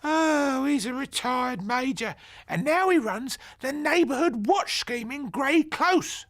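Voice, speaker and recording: A man voicing a cheeky, gossipy old grandmother in a storybook reading, with a high, loud, scolding voice. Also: lively intonation, slow pace, full character voice. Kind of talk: audiobook character voice.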